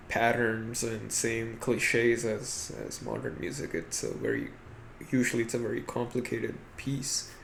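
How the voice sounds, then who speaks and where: A young man co-hosting a podcast, giving his opinions in a casual, conversational, musing way. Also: medium pitch. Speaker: podcast co-host. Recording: clearly noisy amateur podcast audio.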